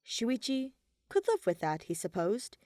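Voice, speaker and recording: A woman's voice: a little high, big pitch swings, measured pace, a little mellow, no room sound, studio quality. A woman reading scripted fiction aloud as an audiobook narrator.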